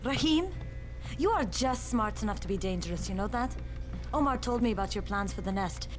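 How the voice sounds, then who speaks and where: An actress playing a blunt, sharp-tongued, protective older sister in a video game. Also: female voice, mid-range voice, expressive, fairly quick, over music, close to an everyday voice. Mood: scolding, exasperated.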